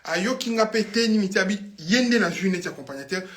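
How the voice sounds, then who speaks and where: A man's voice: high-pitched, animated, fairly quick, fairly bright tone. A Congolese man with a Congolese French accent, a guest musician rambling through memories in a casual studio chat, switching between languages and breaking into bits of song. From Congo (Kinshasa). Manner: rambling, mixes French and Lingala, hums song snippets. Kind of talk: casual studio interview storytelling.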